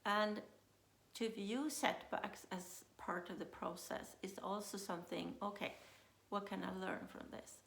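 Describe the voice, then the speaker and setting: A woman with a Swedish accent coaches in English, her second language, taking her time and circling back with "uh" as she thinks out loud. Her voice sits at a middle pitch with a gravelly fry on it. The room around her is echoey. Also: steady tone. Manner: unhurried, thinks aloud with many uh fillers and restarts. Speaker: self-leadership coach. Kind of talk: casual talk to camera.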